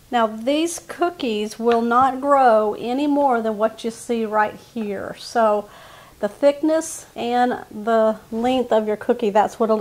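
Woman walking viewers through baking. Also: on the high side. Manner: friendly step-by-step instructions to viewers. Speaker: cooking channel host. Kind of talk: casual cooking demonstration. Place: home kitchen, indoors.

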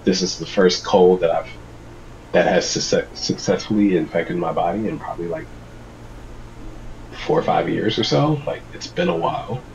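Man in his 30s, low deep voice, congested, video call, muffled sound, reflective. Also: lively intonation, normal speed, gravelly, a little mellow. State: congested from a cold. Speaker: guest. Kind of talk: casual video call chat. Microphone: video call webcam.

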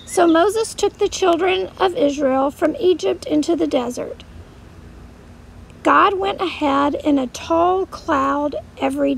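A woman tells a simple story to children as their teacher. Her voice is high with a creaky edge, and she speaks slowly over faint background noise.

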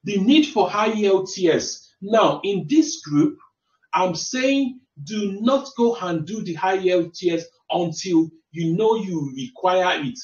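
A fairly high-voiced man with a Nigerian accent, walking viewers through a screen-shared website. He explains patiently and often restarts his phrases. The mic is distant and the room echoes, with a dull lo-fi tone. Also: lively intonation, takes it slowly. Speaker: careers adviser.

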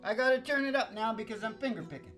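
A man's voice: high voice, expressive, fast, fairly bright tone. A man in his sixties, a musician hosting a show, chatting casually and ramblingly into a close stand microphone. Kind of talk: casual rambling stage banter. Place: small wood-panelled indoor room. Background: acoustic guitar.

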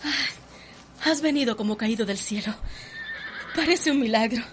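An actress playing an imperious, passionate young estate mistress in a radio soap, with a Cuban accent. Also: female voice, on the high side, measured pace, slight background noise, close to an everyday voice. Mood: composed, haughty. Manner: commanding, yearning, dramatic. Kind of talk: radio soap opera acting.

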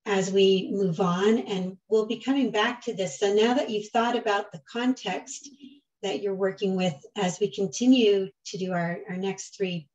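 A woman runs an online session and hands over to the next presenter. She sounds set back from her laptop mic, speaking in an unhurried, halting way full of fillers. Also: medium pitch, fairly even intonation, a little mellow, recorded from a distance, poor audio. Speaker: session facilitator. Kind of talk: moderating an online symposium session.

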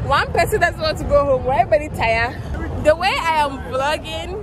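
A woman's voice: high-pitched, expressive, fairly quick, a crowd in the background, noisy background. A young woman in her twenties filming a casual travel vlog on a phone at arm's length, chatty and teasing. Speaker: vlogger. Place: outdoors at a waterfall and a boat, then a hotel room.

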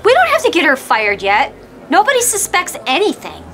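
An actress playing a scheming middle-aged flight attendant who sounds falsely sweet. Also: female voice, very high voice, wildly swooping pitch, normal speed, a bit bright, soft background music, heavily acted. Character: scheming thieving female flight attendant, sly. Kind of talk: scripted comedy sketch acting.

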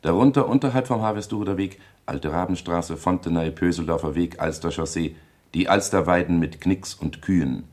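Deep, husky detached narrator man. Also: brisk, a little mellow. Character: detached male narrator describing places and bodies. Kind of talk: literary radio play narration, descriptive.

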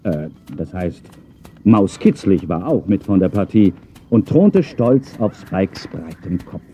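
A man's voice: low-pitched, big pitch swings, slow, dark tone, a little hiss or hum. A man narrating a children's audio drama expressively from a script. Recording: narrow phone-like sound, faint music.

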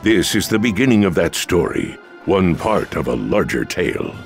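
A man narrating a video game story in a dramatic scripted style, with a deep gravelly voice. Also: very animated, normal speed, soft background music, exaggerated voice. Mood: solemn.

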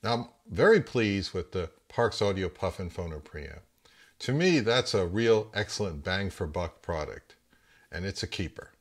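A man in his fifties with a fairly low voice hosts a review channel. He delivers scripted product commentary in the manner of a narrator, speaking as a presenter to his viewers. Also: very animated, normal speed.

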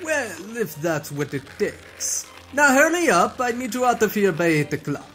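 A woman's voice: medium pitch, very animated, normal speed, fairly bright tone, soft background music. A woman voicing a haughty, evasive vampire count in a melodramatic cartoon dub. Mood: impatient, evasive. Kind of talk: cartoon fan dub acting.

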